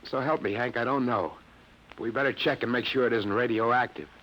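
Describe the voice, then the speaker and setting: An actor in a 1950s radio drama playing a shaken, earnest young test pilot, halting yet insistent, on a vintage broadcast recording. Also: male voice, medium pitch, a bit bright, natural voice, low-quality recording. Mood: anxious, evasive.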